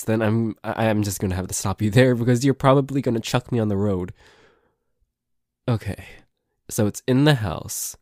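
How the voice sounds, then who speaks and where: A grumpy, suspicious tsundere boyfriend, acted by a man for an ASMR roleplay. His voice is fairly low and he talks slowly, with hesitant stammers and curses. The sound is close and dry. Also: darkish tone, dry recording. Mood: suspicious, grumbling. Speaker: amateur voice actor.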